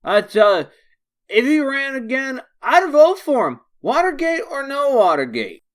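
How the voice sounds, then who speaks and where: Slow, slangy, friendly street bum played by a man. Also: extremely high pitch, big pitch swings, heavily acted. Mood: warm, animated. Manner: slangy, rambling, folksy. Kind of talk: comic dub voice acting.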